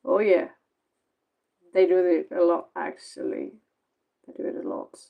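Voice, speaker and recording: Slow, gravelly Norwegian woman, tired. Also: lowish voice, wildly swooping pitch, muffled recording. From Norway. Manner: sarcastic, rambling, blunt. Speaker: tarot reader. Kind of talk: casual late-night tarot reading.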